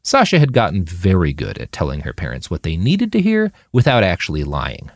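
A man's voice: deep voice, a little fast, dry recording. A man reading a novel aloud as scripted audiobook narration, expressively acting out the dialogue. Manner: expressive, acts out quoted dialogue. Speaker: author reading his fiction aloud.

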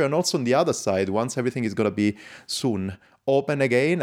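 Man, podcast host, enthusiastic, lowish voice. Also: darkish tone. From lives in Switzerland. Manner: enthusiastic, non-native phrasing. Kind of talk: casual podcast interview.

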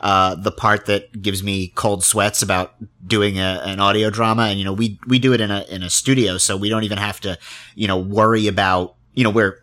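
A man interviewing in casual conversation, thinking aloud haltingly and repeating words as he goes. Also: on the low side, little pitch movement, a bit bright. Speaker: interviewer, audio drama podcaster.

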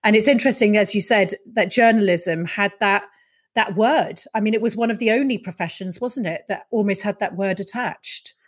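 A woman's voice: mid-range voice, moderate pace. A woman hosting a podcast, interviewing a guest with encouraging questions and casual fillers. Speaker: podcast host.

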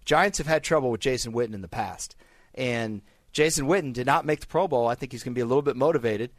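A man's voice: medium pitch, fast, dry recording. Texan man talking fantasy football. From Texas. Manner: enthusiastic, recalls stats in detail. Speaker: radio co-host. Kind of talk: sports radio chat.

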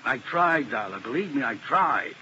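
A man's voice: medium pitch, lively intonation, normal speed, bright, forceful tone, dull audio. An actor playing a weary, guilt-ridden male defense lawyer in a 1950s radio drama, troubled and heartfelt. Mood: anguished. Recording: hissy old radio broadcast.

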